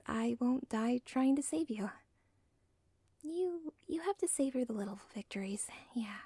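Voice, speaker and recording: A woman playing a villain's lovestruck, self-aware daughter in an ASMR roleplay, whispering softly with a halting, deliberate, intimate delivery. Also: medium pitch, no echo. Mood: conspiratorial, nervous. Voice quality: soft, breathy, whispery.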